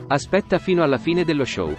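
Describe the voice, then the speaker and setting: A resigned, unhelpful robot diner attendant played by a man in a cartoon dub. His voice is fairly high with varied pitch, over faint music. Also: big pitch swings, fairly quick, a little mellow. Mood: resigned, matter-of-fact. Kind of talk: amateur cartoon fan dub.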